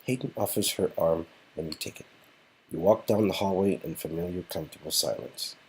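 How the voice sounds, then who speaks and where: A man's voice: sits low, brisk, darkish tone, a little hiss or hum, poor audio. A man reading scripted second-person romance narration and dialogue from an interactive story. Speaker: narrator and co-reader.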